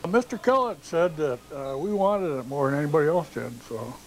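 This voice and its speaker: A man's voice: a little high, expressive, fairly quick, noisy background. An older man being interviewed, reminiscing casually about his younger days. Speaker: interviewee, former player.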